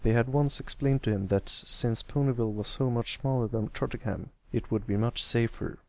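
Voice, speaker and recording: A man's voice: medium pitch, moderate pace, a little mellow, dry recording, rough recording. A man reading scripted story narration as an amateur audiobook narrator.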